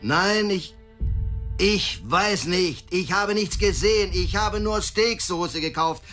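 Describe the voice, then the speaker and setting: An actor playing a beaten-down death-row prisoner in a German radio play, hesitant and subdued, often repeating himself. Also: male voice, sits high, takes it slowly, pushed and bright, soft background music, exaggerated voice. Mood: anxious, defensive. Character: hesitant, beaten-down man condemned to death, protesting innocence.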